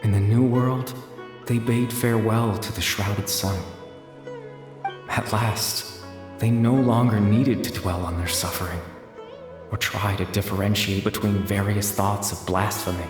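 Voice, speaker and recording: A man voicing a solemn, mysterious storyteller who recites slowly and poetically in a video game. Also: lowish voice, steady tone, over music, natural voice. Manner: slow, hushed, poetic recitation. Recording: echoey reverberant voice.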